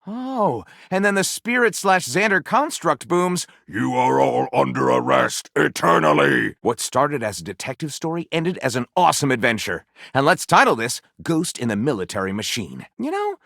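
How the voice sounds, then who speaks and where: A man's voice: fairly high pitch, gravelly, fairly bright tone, dry recording, studio quality, exaggerated voice. A man playing a flippant, theatrical writer character in a video game, speaking with wry sarcasm and mock grandeur. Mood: amused, wry. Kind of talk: animated game dialogue, mock-grand title announcing.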